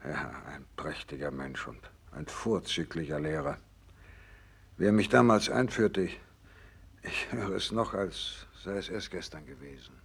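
An actor playing a gravely ill but resolute doctor in a 1960s German radio play, speaking in an earnest, measured, insistent way. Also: male voice, a bass voice, animated, full character voice.

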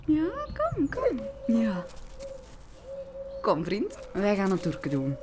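An actress with a Flemish accent playing a stubborn, exasperated dog owner in a radio comedy, in colloquial, coaxing speech. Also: female voice, mid-range voice, huge pitch swings, slow pace. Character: stubborn, exasperated woman splitting up, doting on her dog. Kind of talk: naturalistic radio comedy acting. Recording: clearly noisy recording.

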